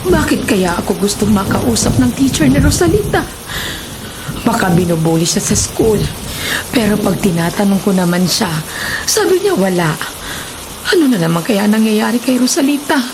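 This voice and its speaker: A woman's voice: medium pitch, lively intonation, moderate pace, background noise, natural voice. A woman playing a caring, worried nanny who speaks her thoughts aloud in a radio drama. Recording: loud music and rain effects.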